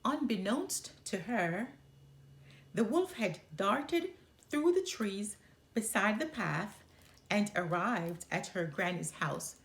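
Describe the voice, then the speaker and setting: A woman narrating a children's story as a storyteller, expressive and deliberate. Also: mid-range voice.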